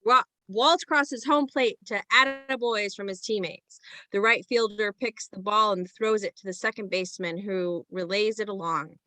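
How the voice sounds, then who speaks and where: A woman's voice: mid-range voice, normal speed, bright, forceful tone, no room sound, rough recording. A woman reading screenplay stage directions as scripted present-tense narration.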